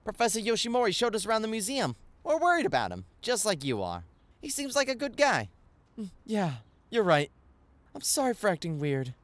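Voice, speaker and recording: Woman voicing an animated, earnest teenage schoolkid. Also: medium pitch, fairly quick. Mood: earnest, worried. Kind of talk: anime-style audio drama dialogue.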